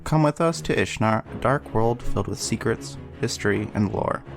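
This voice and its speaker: A man's voice: lowish voice, normal speed, creaky, faint music behind. A man introducing his show as dungeon master in a scripted promo. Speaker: dungeon master, podcast host.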